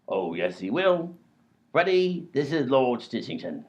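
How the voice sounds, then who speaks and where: A man's voice: medium pitch, big pitch swings, unhurried, darkish tone. A man voicing a dim, self-assured robber in a comedy radio play. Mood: blustering. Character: bumbling male robber, blustering, dim. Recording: dull lo-fi audio.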